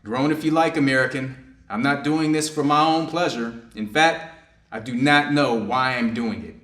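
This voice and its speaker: A man's voice: mid-range voice, moderate pace, a bit bright, natural voice. A man playing a stern, conflicted Japanese doctor treating a wounded enemy in a staged play reading. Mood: brusque. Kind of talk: staged readers' theater acting. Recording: echoey room.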